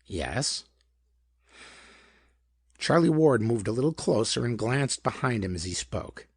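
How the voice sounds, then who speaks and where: A man reading a pulp detective story as scripted narration, in an expressive, theatrical manner. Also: medium pitch, moderate pace, husky, dry recording, studio quality. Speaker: audiobook narrator.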